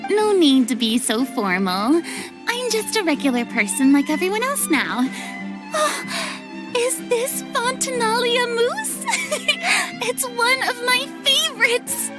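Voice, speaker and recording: A woman playing a theatrical, easily flustered young lady in a video game, with an expressive manner. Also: very high voice, slow, heavily acted. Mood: flustered, casual, then delighted. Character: dramatic young woman, former idol, flustered, theatrical, sweet-toothed. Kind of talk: scripted video game dialogue. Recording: music behind the voice.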